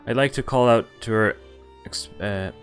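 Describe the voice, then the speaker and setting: A man's voice: medium pitch, normal speed, clear, resonant tone, soft background music. A young man streaming a visual novel, reading the narration aloud and cutting in with wry, exasperated asides. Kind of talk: casual reading with commentary.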